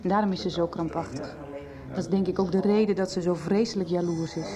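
An actress playing a calm, understanding woman who investigates and explains in a conversational Dutch radio soap. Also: lowish voice, fast talker, noisy background, lightly acted. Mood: concerned, friendly.

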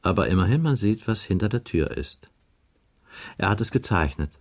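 An actor playing a stern detective inspector in a German radio crime drama, questioning in an insistent, clipped, probing manner. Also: male voice, fairly low pitch, animated, normal speed, darkish tone. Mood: grave, insistent.

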